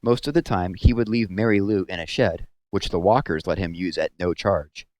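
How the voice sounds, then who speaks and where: A man with a fairly low voice reads a story aloud. His phrasing is measured and expressive, in the manner of storybook narration. The sound is dry and close, without room echo.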